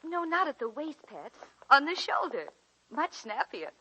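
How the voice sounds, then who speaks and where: An actress playing a brisk, bossy but affectionate niece in the style of 1940s radio drama. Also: female voice, high-pitched, sing-song, bright, forceful tone, full character voice. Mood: brisk, insistent. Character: brisk, bossy, affectionate niece coaxing her elderly aunt. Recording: dull vintage 1940s radio recording.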